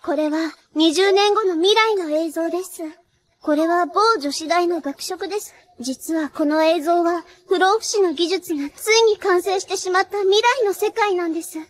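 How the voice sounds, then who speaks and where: A woman's voice: very high-pitched, normal speed. A woman playing a polite, clumsy young angel assassin in an anime, with a childlike, cutesy voice and an earnest, easily flustered way of talking. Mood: grave, explaining. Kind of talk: Japanese anime character acting.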